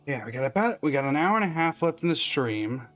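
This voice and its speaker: A man's voice: fairly high pitch, very animated, fairly quick, a bit bright, faint music behind. A man chatting casually to viewers as he hosts a live gaming stream. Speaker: livestreamer.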